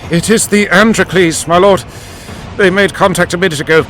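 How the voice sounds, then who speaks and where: Man playing a high-pitched, terrified ship's officer. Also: steady tone, normal speed, a bit bright, slight background noise. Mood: fearful. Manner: stammering, small-voiced, fearful. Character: terrified, cowering male ship's officer, deferential. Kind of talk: dramatized audiobook character acting.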